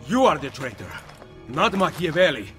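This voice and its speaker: A man's voice: on the low side, lively intonation, normal speed, lightly acted. A man playing a resolute, commanding Italian assassin leader in a dramatic video game, with an Italian accent. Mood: urgent, accusing. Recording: cavernous long reverb, music behind.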